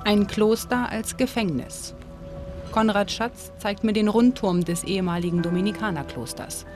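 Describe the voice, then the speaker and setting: A woman narrates a history documentary in a calm, measured voice-over. Her pitch sits in the middle range and her delivery stays steady. Clear background music plays under her voice. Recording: studio voice-over with music behind.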